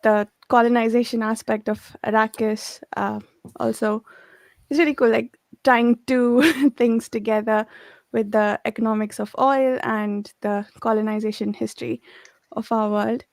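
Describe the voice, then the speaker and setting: A woman with a somewhat high voice and little pitch movement talks casually in a book discussion. She pieces her thoughts together as she goes, recorded dry and close. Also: level tone, unhurried, vocal fry, a little mellow, dry recording.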